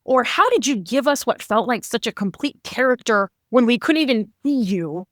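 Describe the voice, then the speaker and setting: Woman host for casual interviews. High voice, swooping intonation, tight dry recording. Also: very animated, moderate pace, a bit bright, no room sound. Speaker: podcast host.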